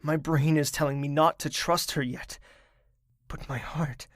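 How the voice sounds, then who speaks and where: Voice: mid-range voice, dry recording, studio quality. An actor playing an anxious, self-doubting royal. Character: troubled royal young woman torn between trust and doubt. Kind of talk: audio drama inner monologue.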